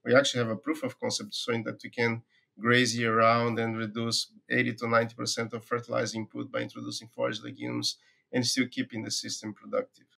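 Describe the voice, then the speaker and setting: A middle-aged man from Brazil with a Brazilian accent, a university agronomy professor answering interview questions in a relaxed, thoughtful way, pausing often with "uh" and repeating words as he finds them. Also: mid-range voice, creaky. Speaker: forage agronomy professor, guest.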